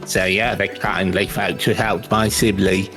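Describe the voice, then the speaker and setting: A man's voice: on the low side, level tone, unhurried, natural voice. A man voicing a grateful, earnest father in a fantasy game, speaking politely and sincerely. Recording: game music behind the voice.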